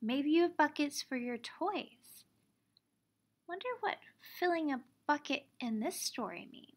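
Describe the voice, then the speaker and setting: High-pitched woman reading to young children. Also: a little slow. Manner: expressive, simple explaining for young children. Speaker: teacher reading a picture book aloud. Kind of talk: children's storybook read-aloud.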